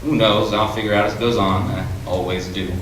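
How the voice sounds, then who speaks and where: A man playing a self-assured, wryly conceited 1940s New York private detective, narrating in old radio drama style with deliberate, hard-boiled storytelling. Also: lowish voice, steady tone, close to an everyday voice. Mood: brooding, self-satisfied. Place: improv theatre stage before an audience. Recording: echoey theatre stage, distant mic, noisy.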